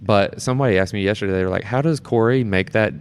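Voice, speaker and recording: A man's voice: lowish voice, quick pace, slight background noise. A man talking casually as a guest in an informal conversation. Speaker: community theatre performer, podcast guest. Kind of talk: casual chat.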